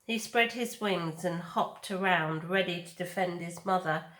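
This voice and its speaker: A woman reading children's fiction aloud as a deliberate, expressive storyteller. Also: on the low side. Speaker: storybook reader. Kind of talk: dramatic read-aloud narration of children's fiction. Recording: echoey room.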